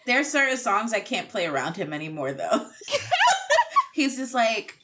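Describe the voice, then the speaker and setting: A woman's voice: on the high side, measured pace, very noisy. A woman co-hosting a podcast in casual chat, telling stories with frequent giggles. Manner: giggly, animated storytelling. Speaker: podcast co-host.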